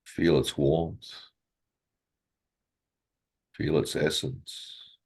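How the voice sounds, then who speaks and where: Really deep voiced guy doing guided meditation, super slow, gentle, lots of long pauses. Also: level tone, vocal fry, husky.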